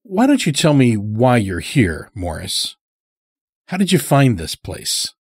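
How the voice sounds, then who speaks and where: Man as a guarded, husky lodge master. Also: mid-range voice, wildly swooping pitch, takes it slowly, darkish tone, dry recording, studio quality, voice pushed up, full character voice. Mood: wary, questioning. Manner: curt, guarded. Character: guarded, terse male lodge master of a sacred order.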